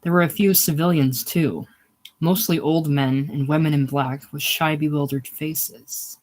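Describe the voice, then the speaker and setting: A man's voice: on the high side, fairly even intonation, measured pace. A man reading literary war fiction aloud as an audiobook narrator, now and then stumbling and rereading a phrase. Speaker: audiobook reader.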